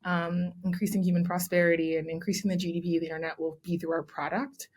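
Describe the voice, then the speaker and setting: A woman's voice: on the low side, level tone, fast talker, darkish tone. A young adult woman, raised in Texas, giving articulate, explanatory interview answers as a guest, often adding "right?". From born in Nigeria, raised in Texas. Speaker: guest, commissioning editor.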